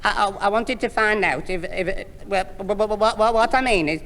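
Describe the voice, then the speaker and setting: A man's voice: sits high, normal speed, a bit bright. A man playing a bashful, gormless young suitor who stammers and dithers in a Northern English accent in a 1960s radio sitcom. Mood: tongue-tied. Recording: echoey hissy vintage radio recording.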